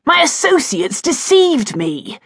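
A woman's voice: very high-pitched, huge pitch swings, drawn-out words, creaky, dry recording, voice pushed up, full character voice. A woman voicing a blustering, hot-tempered older male duke who bellows his lines in an audiobook. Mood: defensive. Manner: bellowing, shouting. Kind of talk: audiobook character voice.

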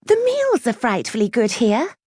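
An anxious young lady at a girls' school, acted out by a woman narrator, speaks high and with big swings of pitch. She is eager, polite and flustered, in storybook style. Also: huge pitch swings, normal speed, a little mellow, breathy edge, no echo, clean studio sound, full character voice. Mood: desperately bright.

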